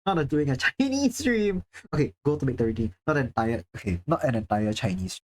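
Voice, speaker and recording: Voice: mid-range voice, lively intonation, fairly quick, slight background noise. A livestreamer from Singapore chatting casually with viewers, chatty and self-deprecating, swearing now and then. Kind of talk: casual live-stream chat, reading chat.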